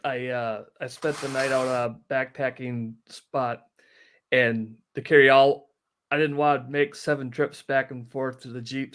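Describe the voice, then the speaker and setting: A man, explaining things in a practical, low-key way as a guest in a casual interview. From northern Wisconsin, United States. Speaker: guest, outdoor amateur radio operator. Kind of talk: casual live stream interview.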